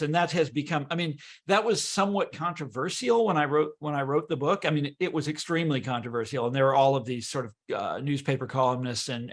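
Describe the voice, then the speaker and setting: An American man from Kansas talking as an interview guest, animated and emphatic, often repeating his words as he explains. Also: medium pitch. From Kansas, United States. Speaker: guest author and historian. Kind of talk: conversational interview.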